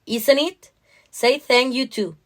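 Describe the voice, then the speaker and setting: A woman playing an anxious, overbearing mother in a story, scolding her teenager in a sharp, strained voice. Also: on the high side, very animated, a little slow, voice pushed up, heavily acted. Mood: pointed.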